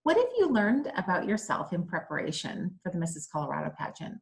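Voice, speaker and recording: A woman's voice: fairly low pitch, sing-song, fairly quick, gravelly. A woman from Hawaii hosting an interview, chatty and upbeat, readily agreeing with her guest. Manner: upbeat and chatty, affirming answers. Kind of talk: friendly interview.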